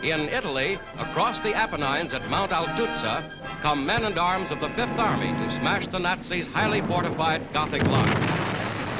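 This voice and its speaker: A man's voice: on the high side, rough recording. A man narrating a 1940s newsreel in a formal, declamatory style, on a vintage film soundtrack. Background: loud orchestral music.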